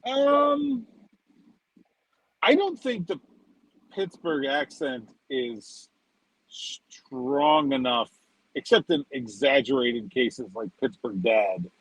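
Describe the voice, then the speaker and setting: A man's voice: medium pitch, very animated, takes it slowly, long pauses, breathy edge, no echo, rough recording. A man chatting casually as a sports reporter and podcast co-host, wandering into conversational asides.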